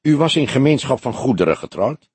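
Man playing a wry, lively-voiced old detective. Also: mid-range voice, breathy edge, no echo, voice pushed up. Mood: kindly. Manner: courteous, measured, gently mocking. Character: polite, wry old police detective, calm and solemn. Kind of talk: audiobook character dialogue.

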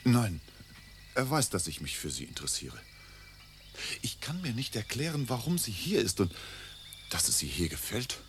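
An earnest, touchy young gentleman in a radio drama. His low voice sounds agitated and lovestruck, set against clearly audible night crickets. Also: sing-song, normal speed, pauses often, a little hiss or hum. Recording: outdoor scene with loud cricket ambience.

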